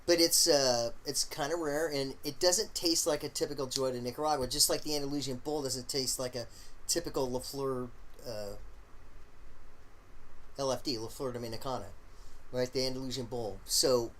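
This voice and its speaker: A man hosting a casual live stream, rambling along in a halting way with lots of fillers and the odd throat clear. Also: medium pitch, slight background noise. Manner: relaxed, opinionated talk about smoking.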